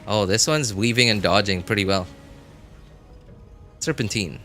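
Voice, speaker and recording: Low male voice, forceful bright tone, casual gaming livestream commentary, rambling and thinking aloud, music underneath. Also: measured pace. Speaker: game streamer. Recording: music behind the voice.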